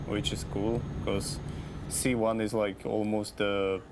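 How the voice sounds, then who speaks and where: A man's voice: fairly low pitch, slow pace. A man who speaks English as a second language, chatting casually and hesitantly as a guest on a stream. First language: non-native English speaker. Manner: relaxed, hesitant, trails off and restarts. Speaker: company community manager, interview guest. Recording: steady background noise.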